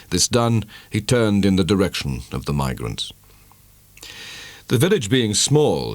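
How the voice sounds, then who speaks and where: Male audiobook narrator, deep and dry-recorded, for dramatic, measured storytelling of literary fiction. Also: no echo.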